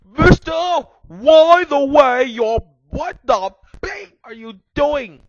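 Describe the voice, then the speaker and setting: A man voicing a furious, bossy woman school official, shrill, scolding and exaggerated. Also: lively intonation, takes it slowly, no echo, dull audio, heavily acted. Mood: outraged.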